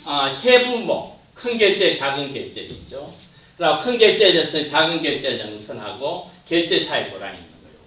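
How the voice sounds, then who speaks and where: A man giving an anatomy lecture, pointing at slides and naming parts, his voice dropping at the end of phrases. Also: a little high. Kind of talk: classroom lecture. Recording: roomy, distant, muffled lecture capture.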